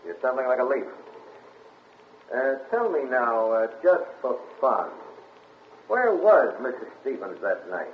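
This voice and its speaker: An actor in a 1940s radio play voicing a wry police captain who questions suspects in a sly, teasing drawl. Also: male voice, mid-range voice, big pitch swings, unhurried, long pauses, slight background noise. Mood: probing. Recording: tinny, echoey 1940s radio broadcast.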